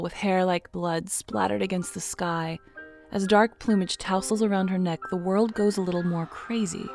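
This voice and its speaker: A woman narrating an audio drama in a measured, dramatic storytelling manner. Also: fairly low pitch, darkish tone.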